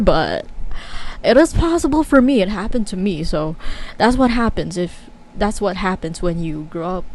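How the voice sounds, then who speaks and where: A woman's voice: medium pitch, a little mellow, rough recording. A young woman rambles openly to her camera, speaking in an easy middle register with plenty of casual fillers. She is talkative and frank, and she often breaks into laughter as she goes. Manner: chatty and candid, many fillers, laughs often. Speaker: vlogger. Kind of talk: casual talking-to-camera chat.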